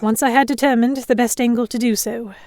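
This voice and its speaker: A woman narrating in first person as a composed captive in a historical pirate audio drama, with measured, dramatic storytelling. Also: fairly high pitch, fairly even intonation, darkish tone, no room sound, close to an everyday voice. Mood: measured, tense. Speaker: narrator and lead actor.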